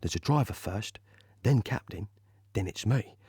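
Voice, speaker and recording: An actor playing a chatty working-class British army private in an audio play, telling his story eagerly and colloquially in a slightly husky voice. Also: on the low side, lively intonation, unhurried, darkish tone, dry recording, studio quality, heavily acted. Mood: excited, proud.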